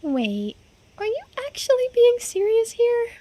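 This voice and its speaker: A woman voicing an excitable, trusting teenage boy in a video game, casual and full of exclamations. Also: very high-pitched, measured pace, voice pushed up, heavily acted. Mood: amazed. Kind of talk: voiced visual novel playthrough.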